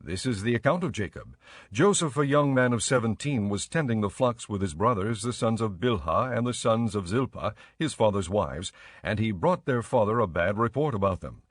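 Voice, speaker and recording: A man narrates scripture from a script. His voice sits fairly low, and the studio sound is dry and close, with no room around it. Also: expressive, moderate pace, dry recording.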